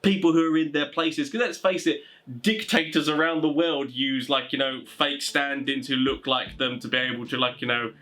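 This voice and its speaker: A man's voice: medium pitch, fairly even intonation, moderate pace, bright, forceful tone. A man talking informally as a host giving tabletop game advice, in a chatty, rambling, enthusiastic way.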